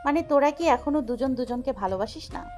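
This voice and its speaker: High-voiced playful young wife acted by a woman. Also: expressive, fairly quick, darkish tone, faint music behind. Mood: teasing. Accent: Bangladeshi.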